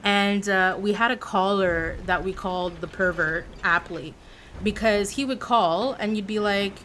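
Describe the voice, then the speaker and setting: A woman in her forties livestreams and chats with her viewers in a casual, expressive way. She teases and jokes as she goes. Her pitch sits in an ordinary middle range for a woman. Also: a little slow, slight background noise. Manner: chatty and expressive, teasing and playful.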